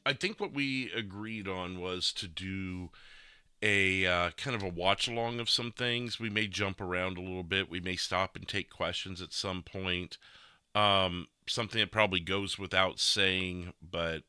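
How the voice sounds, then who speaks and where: Deep voiced guy hosting a podcast, dry close sound, rambles a bit, jokes at his own expense. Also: fairly even intonation, unhurried, sharp tone, smooth, no room sound. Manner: rambling, self-deprecating, joking. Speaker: podcast host and game streamer. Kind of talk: casual podcast chat.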